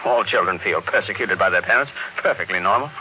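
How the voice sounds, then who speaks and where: An actor playing a grave, authoritative psychologist and family friend in a 1950s radio drama, speaking emphatically. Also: male voice, low voice, quick pace, a little hiss or hum, natural voice. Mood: assured. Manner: emphatic, professional. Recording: echoey, narrow vintage radio recording.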